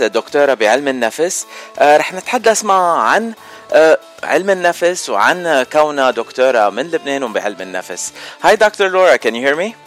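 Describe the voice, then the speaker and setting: A man hosting a radio interview, switching between Arabic and English and joking playfully with his guest. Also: a little high. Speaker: radio show host.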